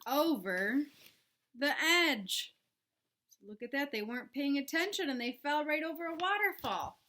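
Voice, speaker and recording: A woman reading a picture book aloud for young children, expressive and dramatic, with chatty asides to her listeners. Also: high voice, a little slow, a little hiss or hum. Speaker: children's read-aloud presenter. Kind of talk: animated storybook read-aloud for young children.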